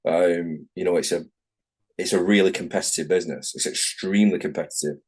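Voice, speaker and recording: A man's voice: sits low, fairly even intonation, a little fast, slightly rough. A middle-aged man with a Manchester accent, talking frankly in a casual interview over a video call and often correcting himself. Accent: Manchester English. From England, Manchester. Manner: rambling, frank, self-correcting. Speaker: tattoo artist guest.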